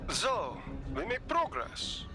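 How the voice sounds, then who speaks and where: A sinister mercenary villain from a video game. His voice sits high for a man and moves widely in pitch. He gloats coldly and delivers each threat slowly and deliberately. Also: wildly swooping pitch, sharp tone, exaggerated voice. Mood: cold gloating.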